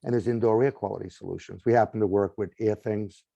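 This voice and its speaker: A man's voice: on the low side, fairly even intonation, fast talker, creaky, mellow, dry recording, muffled recording. A man with a Boston accent, a guest in an interview explaining home technology in long lists, often ending points with "right?". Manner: explanatory, lists items, frequent "right?" tags. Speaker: smart home company guest. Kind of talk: conversational interview.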